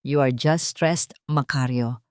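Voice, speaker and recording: A woman's voice: a bass voice, slow, darkish tone, no echo, studio quality, pitched below the performer's own voice. A woman voicing a manipulative, sweet-talking wife in an audio drama, coaxing. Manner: coaxing, syrupy.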